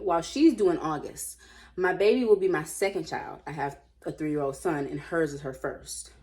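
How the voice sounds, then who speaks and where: A woman reading aloud and commenting casually, with an informal, opinionated, slangy way of musing out loud. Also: low-pitched. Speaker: host reading and commenting on online stories.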